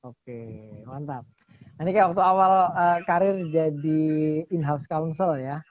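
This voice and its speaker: A man hosting a casual interview, upbeat, quick to affirm his guest and laugh. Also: on the high side. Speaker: host. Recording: dull lo-fi sound.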